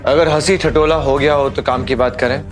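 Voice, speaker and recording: An actor playing a humiliated but quietly defiant husband in an audio drama, restrained and firm. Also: male voice, mid-range voice, fairly even intonation, a little fast, faint music behind, natural voice.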